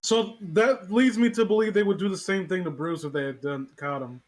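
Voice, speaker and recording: A man's voice: high-pitched, a little fast. A man co-hosting a casual chat in a blunt, incredulous way with plenty of swearing. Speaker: podcast co-host.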